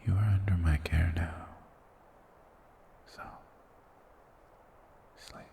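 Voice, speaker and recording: A man performs an ancient-sounding, sinister yet soothing male forest spirit in a hypnotic fantasy ASMR roleplay. The voice is very deep and heavily gravelled, with a breathy hush. He speaks slowly and formally, coaxing the listener along. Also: fairly even intonation, slight background noise, full character voice. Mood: commanding, lulling.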